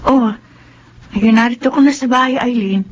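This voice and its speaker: A woman's voice: a little high, moderate pace, dull audio, faint music behind, lightly acted. An actress playing a fussing, caring, outspoken woman in a Tagalog radio drama.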